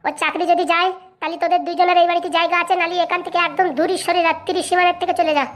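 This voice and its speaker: A woman's voice: extremely high pitch, level tone. A woman voicing a furious, domineering wife in a comic cartoon, scolding and threatening. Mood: commanding, threatening. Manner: scolding, threatening, rapid-fire. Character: furious bossy wife, domineering mistress of the house.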